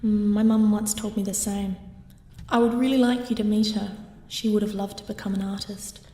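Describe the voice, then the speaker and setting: A woman's voice: medium pitch, fairly even intonation, normal speed, mellow, close to an everyday voice. A young woman acting in an audio drama as a dreamy, trusting idealist who loves art, speaking earnestly and wistfully. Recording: distant, echoey hall, music behind.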